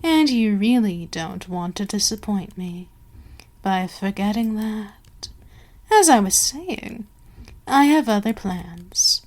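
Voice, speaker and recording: An imperious vampire queen played by a woman speaks at a very slow, deliberate pace in a mid-range voice. She is seductive and menacing, haughty and used to being obeyed, and lets words sink toward a whisper in intimate ASMR roleplay style. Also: medium pitch, expressive, a little mellow. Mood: imperious, composed. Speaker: voice actor in audio roleplay.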